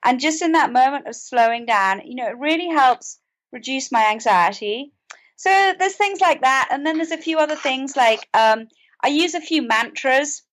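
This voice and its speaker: High-pitched British woman in conversation. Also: expressive, moderate pace, a bit bright. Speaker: podcast guest, author and mental health advocate. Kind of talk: conversational interview.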